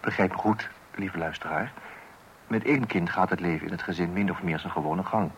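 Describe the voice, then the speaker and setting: A man's voice: deep voice, fairly quick. An actor playing an exasperated father of small children in a Dutch radio play, hesitant and blustering, with wry asides. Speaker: narrator addressing the listener. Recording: hissy old radio recording.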